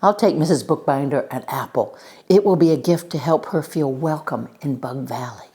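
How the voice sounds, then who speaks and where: A woman's voice: low voice, moderate pace, mellow, natural voice. A woman voicing an eager, earnest little boy lightning bug in a storybook, exclaiming in a singsong way. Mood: excited.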